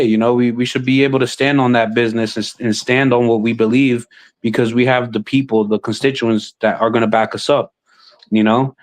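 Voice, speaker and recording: A man who sits on a city council, talking casually and haltingly about politics, filling his speech with "you know" and restarts. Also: medium pitch, darkish tone. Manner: halting, many fillers and restarts. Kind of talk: casual chat.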